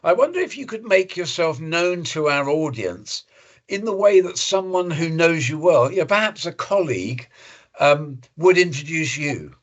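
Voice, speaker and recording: A man hosting an interview podcast, polite and measured, with formal courteous phrasing. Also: fairly high pitch, very animated, lo-fi sound. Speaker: podcast host.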